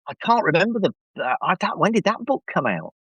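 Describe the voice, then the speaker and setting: A man's voice: sits high, big pitch swings, fairly quick, fairly bright tone, slightly rough, dry recording. A man chatting enthusiastically and effusively as a podcast guest. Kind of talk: casual enthusiastic review chat. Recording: slightly dull, lo-fi microphone sound.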